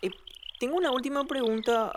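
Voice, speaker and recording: A woman playing a timid, excitable, kind ten-year-old boy with a Paraguayan accent and hesitant speech in an audio drama. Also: high voice, brisk, background noise, lightly acted. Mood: tentative, wondering. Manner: hesitant, stammering starts, expressive.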